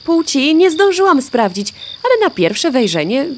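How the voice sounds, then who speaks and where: Eager, lively, naive hen. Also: female voice, high-pitched, a little slow, a little hiss or hum. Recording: faint outdoor cricket ambience.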